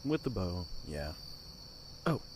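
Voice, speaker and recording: A man's voice: low-pitched, big pitch swings, takes it slowly, background noise, exaggerated voice. A man playing a cocky young castle guard. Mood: easygoing. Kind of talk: audio drama acting.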